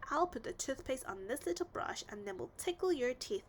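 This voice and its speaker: A woman reads as a reassuring female dental assistant in a children's storybook. She explains things playfully in a high, very lively voice with faint noise behind it. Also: fairly quick. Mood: encouraging.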